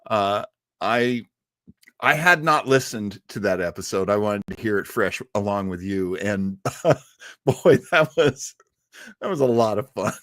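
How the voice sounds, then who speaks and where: A man's voice: lowish voice, lively intonation, measured pace, a bit bright, dry recording, studio quality. Chatty, laughing podcast host, a man. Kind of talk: casual chat.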